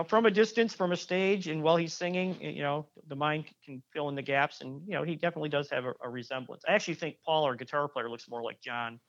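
A man's voice: on the high side, creaky. Man, casual interview chat, lots of restarts, muffled lo-fi sound. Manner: rambling, many restarts and fillers. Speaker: guest musician.

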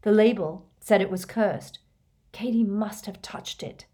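A woman's voice: mid-range voice, measured pace, warm tone, natural voice. A woman voicing an urgent, brave teenage schoolboy in clipped phrases, as part of a storybook reading. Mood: tense, grave.

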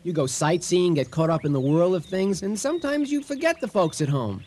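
A man's voice: sits high, sing-song, brisk, a little mellow. An actor playing a mild, courteous earthman in a comic radio drama, soothing and a little hesitant. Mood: reassuring. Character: mild, obliging, reassuring earthman in contact with aliens. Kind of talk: comic radio theater acting.

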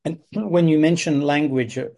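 A man's voice: on the high side, fairly even intonation, moderate pace, a little mellow. A man hosting an academic interview, speaking in an articulate, discursive way. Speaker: interviewer host. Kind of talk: conversational interview.

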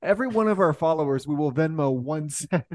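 A man's voice: on the high side, expressive, brisk, dry recording. A man co-hosting a podcast, chatting casually and jokingly. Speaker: podcast host.

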